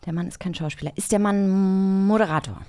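A woman co-hosting a podcast in casual talk, with a playful, effusive, animated manner. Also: medium pitch, fairly quick, dry recording, studio quality. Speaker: podcast co-host.

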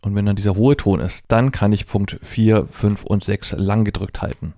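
Male demo presenter, lowish pitch, dry sound, informal thinking-aloud explanations. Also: steady tone, moderate pace, dark tone, dry recording. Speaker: presenter demonstrating assistive technology. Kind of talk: hands-on product demonstration, explanatory.

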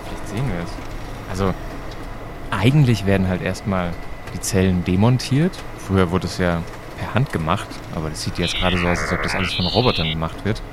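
A man's voice: deep voice, a little fast, noisy background, natural voice. A man playing an inquisitive, easygoing time-travelling battery expert in an audio drama, speaking casually and a little hesitantly. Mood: curious, explaining. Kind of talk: amateur educational audio drama dialogue.

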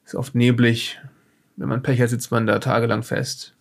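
An actor playing a peevish, well-off scholar who survived a flood, speaking hesitantly and fussily in an improvised audio drama. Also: male voice, mid-range voice, little pitch movement, normal speed, vocal fry, natural voice. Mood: wistful, hesitant. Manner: hesitant, fussy, snobbish.

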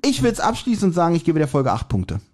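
A man's voice: fairly high pitch, wildly swooping pitch, fast talker, darkish tone. A man chatting casually as a podcast co-host, giving blunt, colloquial opinions. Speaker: podcast co-host reviewing audio dramas.

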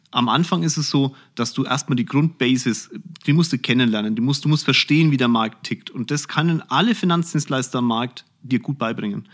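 A man with a mid-range voice speaks bluntly and directly. He answers questions in a colloquial, no-nonsense way in a relaxed podcast chat. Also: mid-range voice. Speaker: podcast host being interviewed, finance executive. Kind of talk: casual podcast interview.

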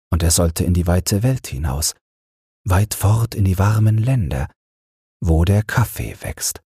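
Bedtime audiobook narrator, male: slow, calm and soothing, in a close, dry recording. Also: low voice, slightly rough, no echo.

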